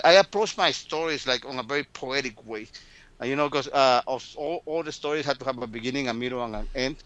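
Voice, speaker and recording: A man's voice: mid-range voice, fairly bright tone, poor audio. A man in his fifties from Guatemala, speaking English with a Spanish accent as an interview guest, sometimes repeating words with a mild stutter. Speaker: guest storyteller. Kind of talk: casual interview talk. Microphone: remote call.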